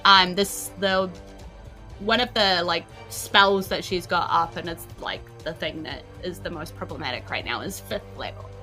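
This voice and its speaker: Bright-voiced New woman game master. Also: on the low side, moderate pace. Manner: conversational, improvising. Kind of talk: narrating a tabletop role-playing game. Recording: music behind the voice. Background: background music.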